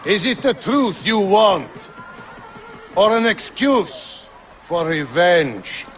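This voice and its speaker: A man's voice: high-pitched, very animated, slow, a bit bright, a little hiss or hum, full character voice. An actor playing a scornful, ambitious male rival leader of an assassin order in a game cutscene. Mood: cold. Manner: cold, accusing. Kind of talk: video game cutscene acting.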